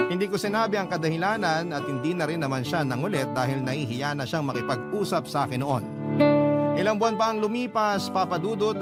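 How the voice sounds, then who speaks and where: Higher-voiced Filipino male storyteller over loud guitar music. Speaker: radio storyteller. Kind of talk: calm first-person reading of a listener's love story. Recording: loud background music under voice.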